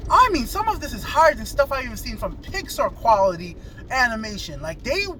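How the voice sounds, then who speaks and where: Very high-pitched enthusiastic man, lively delivery. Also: fairly quick. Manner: enthusiastic, rambling. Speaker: video reviewer. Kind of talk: casual movie review chat. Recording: noisy ambience with vehicle sounds. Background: vehicle and ambient noise.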